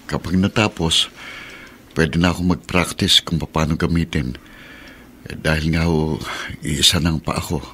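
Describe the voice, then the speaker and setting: A humble, determined father who speaks gratefully to his mother. His voice is low, in radio drama style, over light noise. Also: steady tone, a little slow, pauses often, pushed and bright, full character voice. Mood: hopeful.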